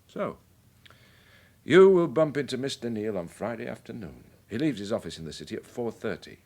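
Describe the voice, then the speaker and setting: An actor playing a worldly, middle-aged British police chief in a radio drama, measured and wry as he explains things. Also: medium pitch, lively intonation, darkish tone. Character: worldly, wry senior police chief briefing his sergeant.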